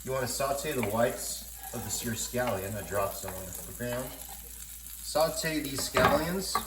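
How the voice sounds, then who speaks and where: A man's voice: medium pitch, echoey room. A man with a congested voice giving a chatty, casual cooking demonstration in his home kitchen. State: congested from a cold. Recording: clear kitchen noise, frying, clatter.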